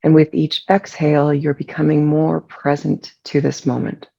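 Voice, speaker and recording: A relaxed meditation guide speaks with soft, dark tone and a good deal of gravelly fry. The delivery is soothing and unhurried, leaving long pauses between phrases. The recording sounds slightly dull and lo-fi. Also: medium pitch.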